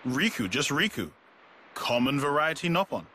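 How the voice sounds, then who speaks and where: Blunt, bright-voiced little fantasy creature. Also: male voice, fairly high pitch, very animated, moderate pace, a little hiss or hum. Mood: matter-of-fact. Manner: clipped broken grammar, third-person self-reference. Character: small male Nopon creature, blunt and secretive. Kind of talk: video game cutscene voice acting.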